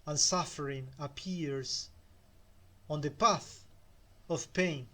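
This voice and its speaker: A middle-aged man with a Spanish accent, a native Spanish speaker, giving a slow, measured motivational talk with pauses. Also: a little high, fairly bright tone. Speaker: self-help speaker.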